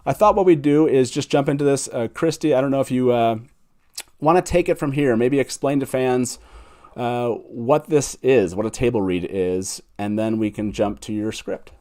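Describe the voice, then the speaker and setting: A man's voice: mid-range voice, normal speed, a little mellow. A man hosting an online fan show in a casual, chatty style.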